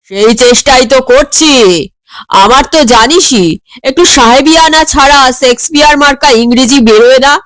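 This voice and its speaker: A pompous schoolboy big brother brags in a high voice, acted out by a woman storyteller. The sound is dry, and he comes across as comically self-important in a funny audio story. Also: normal speed, a bit bright, dry recording, low-quality recording. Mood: grave, pompous. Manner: pompous, bragging.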